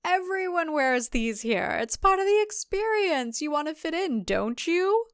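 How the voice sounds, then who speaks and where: A woman's voice: very high voice, big pitch swings, unhurried, dry recording, clean studio sound, pitched above the performer's own voice, full character voice. A woman voicing a sickeningly sweet hostess of a wellness retreat who chirps with overly polite, saccharine cheer. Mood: chirpy.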